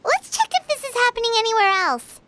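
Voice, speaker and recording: A woman's voice: big pitch swings, fast, very noisy. A woman voicing a small, chirpy, curious girl companion in a video game. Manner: high, bouncy, inquisitive.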